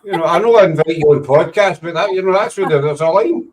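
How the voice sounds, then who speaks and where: A man's voice: a little high, quick pace, a little mellow. A Scottish man with a Glaswegian accent hosting a podcast, joking and rambling in casual banter on a video call. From Scotland. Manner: jokey, self-mocking, rambling. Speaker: podcast host.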